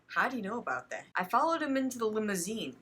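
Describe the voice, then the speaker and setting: Young woman, bright voice, mock-dramatic reader. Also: fairly high pitch, big pitch swings, fast talker. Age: young adult. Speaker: co-host reading fanfiction aloud. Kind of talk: comic story reading with casual banter.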